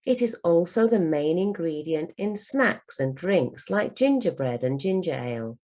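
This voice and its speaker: A woman with a low, mellow voice reads a children's book as a teacher would. Her delivery is slow and carefully enunciated, made for learners to follow along. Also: expressive. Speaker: English teacher.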